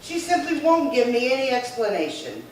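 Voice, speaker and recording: A woman's voice: on the high side, sing-song, moderate pace. A woman playing an indignant, bossy sister in an old-time radio crime drama, scolding emphatically and repeating herself. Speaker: actor. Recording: very echoey room, distant mic, faint noise.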